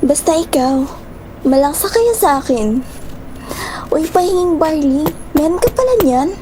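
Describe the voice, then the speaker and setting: A woman's voice: high-pitched, big pitch swings, measured pace, warm tone, a little hiss or hum, low-quality recording. A woman playing a supportive, easygoing young best friend in an audio drama, chummy and soothing. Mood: friendly.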